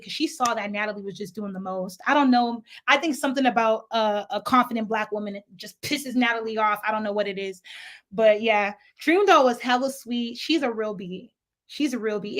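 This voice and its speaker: A young woman with a faint Nigerian accent telling a chatty, rambling storytime vlog full of asides and swearing. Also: fairly high pitch. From San Francisco Bay Area, US. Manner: chatty, animated, digressive, profane. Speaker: vlogger.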